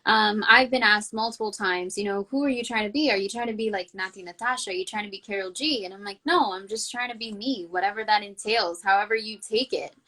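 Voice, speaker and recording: A woman's voice: medium pitch, fast, few pauses, bright, forceful tone. A woman hosting a casual interview, talking earnestly and thinking aloud with lots of "you know" and "like". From El Salvador, raised in Canada. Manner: earnest, rambling, thinks aloud with many fillers. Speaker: interview host.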